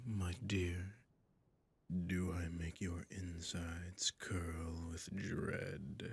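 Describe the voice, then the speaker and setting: A man playing a suave, sadistic vampire lord in an intimate audio roleplay, with a breathy, courtly, teasing murmur. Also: fairly bright tone, slight background noise, full character voice. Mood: teasing, menacing. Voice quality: low, breathy, gravelly.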